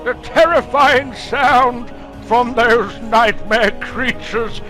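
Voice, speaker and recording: A man's voice: extremely high pitch, bright, forceful tone, soft background music, voice pushed up, exaggerated voice. A man voicing an elderly scholarly professor who reads out a grave warning letter in a theatrical, portentous way. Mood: urgent, fearful. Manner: dramatic, deliberate, portentous.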